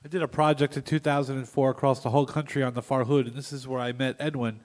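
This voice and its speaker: A man's voice: mid-range voice, little pitch movement, fast, darkish tone. A man in the audience giving a direct account of a past project. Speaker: audience member. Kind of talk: telling a personal account.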